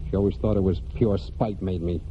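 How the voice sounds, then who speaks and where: An actor in a 1940s American radio drama playing a brooding young farm man and war veteran, speaking plainly and haltingly in rustic phrases. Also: low voice, steady tone, slight background noise, lightly acted. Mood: hurt, defensive. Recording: muffled 1940s radio broadcast with music.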